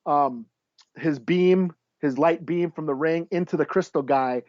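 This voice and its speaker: Slightly high-voiced man, casual and rambling. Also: unhurried, dry recording. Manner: rambling, searches for names, sweary. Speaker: podcast host. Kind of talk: casual comic book review chat. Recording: slightly dull, dry lo-fi audio.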